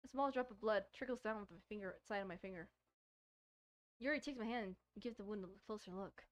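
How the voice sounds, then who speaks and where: A woman's voice: mid-range voice, a little fast. A woman gaming streamer doing casual let's-play commentary, reading a visual novel aloud and often stumbling and repeating words as she reads.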